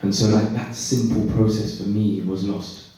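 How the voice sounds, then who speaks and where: A man on a conference panel telling his own story, reflective and pausing mid-thought. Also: lowish voice, little pitch movement, mellow. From Britain. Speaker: panelist, care leaver. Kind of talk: personal testimony on a panel. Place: conference hall. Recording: echoey hall, voice far from mic.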